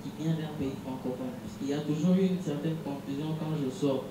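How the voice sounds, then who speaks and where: A young man from DR Congo, a musician, giving measured, reflective interview answers with frequent restarts. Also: a little high. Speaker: musician guest. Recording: echoey room from far off, noisy hum.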